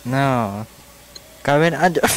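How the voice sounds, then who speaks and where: A young man talks in a casual, joking prank-call style. His pitch is average, and clear noise sits behind his voice. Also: expressive, slow, rough recording. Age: young adult. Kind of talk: casual banter and prank phone calls.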